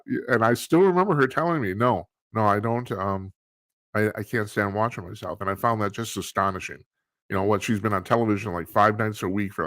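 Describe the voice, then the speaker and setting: An older man in his sixties hosts a late-night radio talk show, his voice sitting low. He rambles in a loose monologue, restarting his sentences and poking fun at himself. The studio mic sounds close and dry, with no room around it. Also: a bit bright, no echo. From United States. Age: 60s. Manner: rambling, self-deprecating, many restarts and fillers. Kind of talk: casual monologue to listeners.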